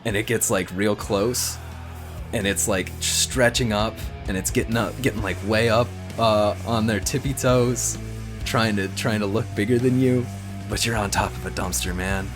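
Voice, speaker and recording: A man improvising a story as a tabletop player, casual and halting, often repeating himself. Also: on the low side, fairly even intonation, over music.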